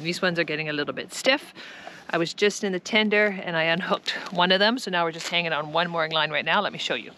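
A woman's voice: lowish voice, bright, forceful tone, slight background noise. A woman in her forties chatting casually and bluntly to an action camera on a boat deck while doing chores. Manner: frank, blunt and joking. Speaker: boat-living vlogger. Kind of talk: casual vlog chat. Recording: music bed under the voice.

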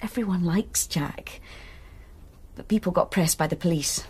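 An actress playing a troubled young woman student in a radio drama who confides reluctantly in a hushed voice. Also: lowish voice, measured pace, breathy edge, in a whisper. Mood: worried.

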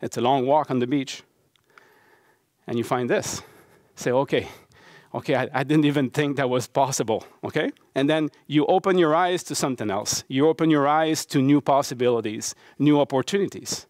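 A man's voice: medium pitch, darkish tone, dry recording, clean studio sound. French-accented man giving a conference talk. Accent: Québécois French. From Quebec City, Canada. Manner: halting, many fillers and restarts, earnest and conversational. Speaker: conference speaker, baseball coach and professor. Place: university lecture hall.

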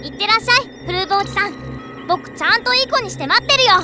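A woman's voice: very high-pitched, lively intonation, rapid-fire. A woman voicing an innocent, well-behaved little boy with cheerful, childlike speech in a game drama. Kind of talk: Japanese game audio drama acting. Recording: loud music behind the voice.

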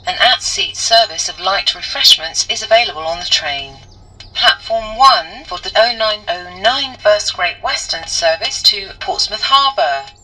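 A woman with a British accent making formal, measured station announcements, phrase by phrase. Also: mid-range voice, animated, bright, forceful tone, husky, far from the mic, poor audio. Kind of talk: recorded public announcements.

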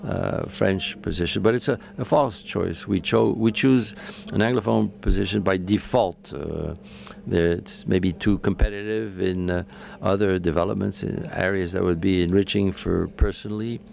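Deep gravelly male interpreter, halting. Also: steady tone, darkish tone. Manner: halting, many fillers and self-corrections. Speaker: simultaneous interpreter. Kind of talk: live interpretation of a panel discussion.

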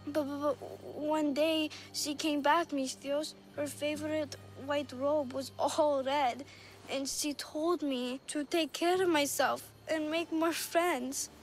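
A woman playing a naive, lonely little orphan girl in a video game, with an earnest, halting, childlike way of speaking. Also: high-pitched, steady tone, faint music behind, low-quality recording. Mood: sad, earnest. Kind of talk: video game cutscene dialogue.

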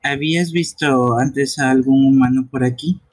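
A man's voice: medium pitch, steady tone, normal speed. A man streaming a live fan dub of a video game, reading its dialogue aloud in character. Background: game music.

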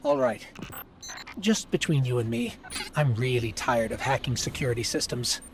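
An actor playing a small, wry, loyal male robot companion in a video game, expressive and conversational. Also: medium pitch, moderate pace, creaky, background noise. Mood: wry, exasperated.

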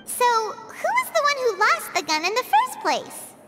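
A woman's voice: extremely high pitch, huge pitch swings, unhurried, over loud music, full character voice. A woman voicing a tiny, curious, childlike floating girl companion in a video game, perky and questioning. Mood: puzzled. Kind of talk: video game dialogue acting.

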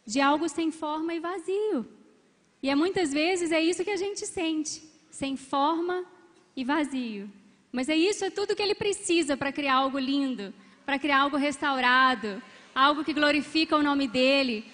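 A young woman speaks with a bright, high voice on a big arena stage, holding a handheld mic. She preaches emphatically and calls out amens and hallelujahs to the crowd. A long hall reverb trails her words. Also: normal speed. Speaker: couple's coach. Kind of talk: testimony and exhortation at a church conference.

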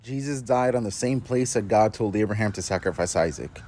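A man talking earnestly and with deep feeling, filmed outdoors on a phone held at arm's length. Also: brisk, darkish tone. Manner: earnest, emotionally moved. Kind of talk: short faith video.

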